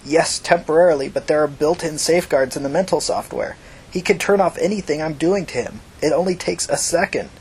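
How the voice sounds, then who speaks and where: A man's voice: a little high, brisk, darkish tone, breathy edge, pitched above the performer's own voice, poor audio. Man acting a cowed, whiny young hacker. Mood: reluctant, complaining. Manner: whiny, hesitant, reluctant. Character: cowed, tearful, evasive male hacker.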